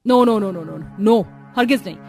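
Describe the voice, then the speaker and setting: A woman's voice: mid-range voice, normal speed, mellow, faint music behind, lightly acted. A woman playing a snobbish modern young sister-in-law who sprinkles English into her speech. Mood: scornful refusal.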